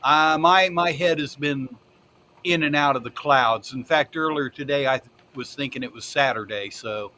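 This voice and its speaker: A man's voice: medium pitch, normal speed, pushed and bright, a little hiss or hum. A man chatting casually as a podcast panelist on an online call, with a laid-back, teasing way of talking. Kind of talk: casual chat.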